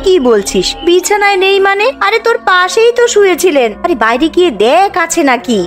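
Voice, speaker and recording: Woman acting very high-pitched village housewife. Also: big pitch swings, brisk, soft background music. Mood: worried. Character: busy, anxious young village housewife and mother. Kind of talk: cartoon dialogue.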